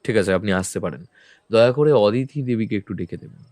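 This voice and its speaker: A man playing a calm, probing detective who questions people in a measured, firm way. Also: on the low side, no room sound, clean studio sound, close to an everyday voice. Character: calm probing male detective, middle-aged. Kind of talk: audio drama acting. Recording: faint background music.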